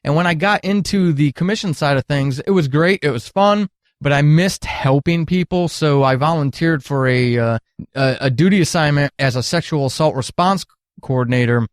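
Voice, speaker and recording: A man's voice: a little high, normal speed, dry recording, clean studio sound. A middle-aged man telling candid, earnest stories at length as an interview guest, with emphatic phrasing. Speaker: podcast guest, retired military counselor.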